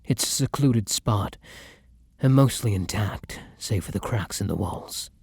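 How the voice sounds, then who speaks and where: A man playing a tender, weary vampire lover in an intimate ASMR romance roleplay, speaking gently and courteously in a breathy voice that often drops into whispers. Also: lowish voice, expressive, unhurried, dry recording, studio quality, exaggerated voice. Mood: weary, gentle. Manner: gentle, courtly, earnest endearments.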